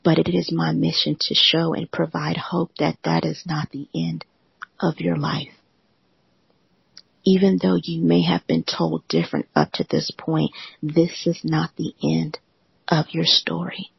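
A host of a live audio room giving a gentle, reassuring motivational talk in a soothing, often whispery voice. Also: mid-range voice, a little slow, vocal fry, breathy edge, dry recording, highs cut off.

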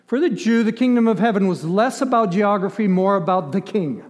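A man's voice: high-pitched, lively intonation, fairly quick. An older man who grew up in Ethiopia delivers a sermon in an emphatic yet conversational manner, with dramatized asides and rhetorical questions. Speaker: preacher. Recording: long hall reverb.

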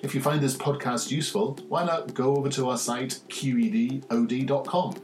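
A man hosting a podcast, opening the show and interviewing his guest in a measured way with frequent "um"s. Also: mid-range voice, fairly even intonation, a little mellow. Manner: measured, uses "um" between phrases. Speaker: podcast host. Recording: echoey room with faint music.

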